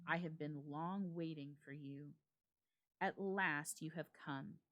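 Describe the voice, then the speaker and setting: A woman voicing a hungry, gloating undead woman in a ghost story, speaking slowly and ominously. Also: low voice, a bit bright, lo-fi sound. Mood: gloating menace.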